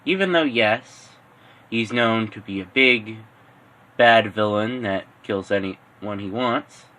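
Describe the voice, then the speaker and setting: A man narrating an improvised fan-fiction story in a halting way, repeating and rephrasing phrases as he goes. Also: on the low side, bright, forceful tone, low-quality recording.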